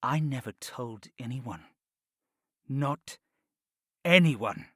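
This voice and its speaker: Male actor as middle-aged fantasy nobleman, proud schemer, formal, pleading, lively swooping intonation, dry sound. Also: medium pitch, extremely slow, dry recording, full character voice. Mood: stunned. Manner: formal, deferential yet insistent. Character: proud, pleading fifty-six-year-old nobleman schemer. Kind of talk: full-cast fantasy audiobook acting.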